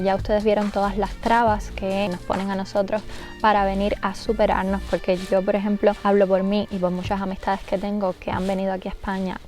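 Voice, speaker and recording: A woman's voice: medium pitch, darkish tone. A young adult woman with a Cuban accent speaking conversationally and explaining things in a casual vlog as she responds to viewers. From Cuba. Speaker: YouTube content creator.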